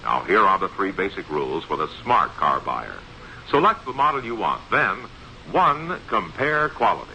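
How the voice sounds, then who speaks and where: A man's voice: low voice, very animated, normal speed, bright, forceful tone. A man reading a scripted 1960s radio commercial in an emphatic salesman's manner. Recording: dull, faintly noisy 1960s radio recording.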